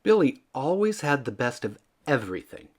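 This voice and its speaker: A man narrates a children's picture book with expressive, scripted storytelling. His medium-pitched voice rises and falls in a lively, animated way. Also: moderate pace.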